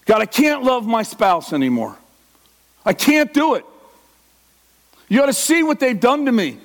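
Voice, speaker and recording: A man's voice: very high-pitched, big pitch swings, unhurried. A middle-aged man preaching a sermon with passion, acting out the inner voice of a struggling believer. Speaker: pastor.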